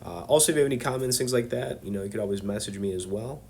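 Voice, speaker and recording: Casual low-voiced man with a cold. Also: very fast, darkish tone, slight background noise. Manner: loose and conversational, many fillers, chummy asides to viewers. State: has a cold. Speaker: poker video host. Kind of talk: casual hand-review commentary.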